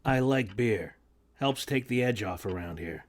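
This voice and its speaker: A man playing a gentle, rambling old uncle. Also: on the low side, lively intonation, a little slow. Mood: wistful. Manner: hesitant, rambling, full of fillers. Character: gentle good-natured working man in his sixties. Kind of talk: radio play reading.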